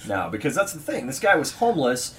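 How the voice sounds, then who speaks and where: A man podcasting in a casual chat, blunt and quick to correct, with crude humor. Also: mid-range voice, sing-song, a bit bright. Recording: distant mic, noisy background.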